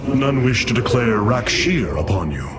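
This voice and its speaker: An actor playing a noble alien commander in a video game, speaking with solemn, stately authority. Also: male voice, mid-range voice, sing-song, normal speed, natural voice. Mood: stern command. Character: noble, resolute male alien warrior leader. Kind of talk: dramatic video game cutscene acting. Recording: loud orchestral game score behind. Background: loud cinematic music.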